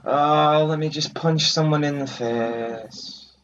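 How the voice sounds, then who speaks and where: A man's voice: on the high side, fairly even intonation, takes it slowly. A man casually commentating on his own video game playthrough as the host, in a playfully boastful way. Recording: muffled, distant mic over game music. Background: game music.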